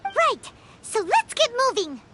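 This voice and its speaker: A woman voicing a tiny, excitable, curious fairy girl companion in a video game, squeaky and childlike, full of exclamations. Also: takes it slowly, exaggerated voice. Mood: eager, curious. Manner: exclamatory, wide-eyed. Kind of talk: scripted video game dialogue.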